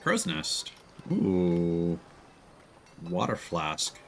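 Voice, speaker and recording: A man's voice: sits low, sing-song, extremely slow, gravelly, a little hiss or hum. A man livestreaming casual gameplay commentary, thinking aloud in a playful way. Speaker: live streamer.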